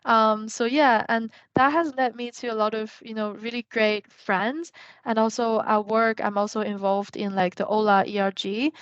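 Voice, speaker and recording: A woman's voice: on the high side, steady tone, moderate pace, smooth, dry recording, dull audio. A young woman from China, a Mandarin speaker, talking in English as a podcast guest. She rambles and fills her sentences with "like" and "um". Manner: rambling, heavy use of "like" and "um". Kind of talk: casual podcast interview.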